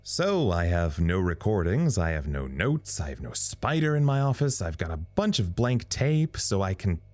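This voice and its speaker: An actor playing a weary, self-deprecating communications officer in an audio drama, talking in a rambling, wry way. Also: male voice, low-pitched, big pitch swings, normal speed, darkish tone. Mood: rambling, wry, nervous. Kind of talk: audio drama recorded log monologue.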